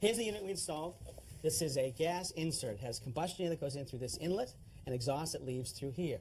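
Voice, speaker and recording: A man's voice: on the high side, animated, fast talker, a little mellow, slight background noise. A middle-aged man giving a plain-spoken, instructive demonstration as a home improvement heating expert. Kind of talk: hands-on explanatory demonstration.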